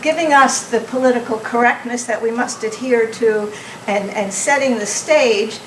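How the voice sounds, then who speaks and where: A soft-voiced woman in the audience asking an earnest, measured question. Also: on the high side. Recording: echoey hall, distant mic, faint noise.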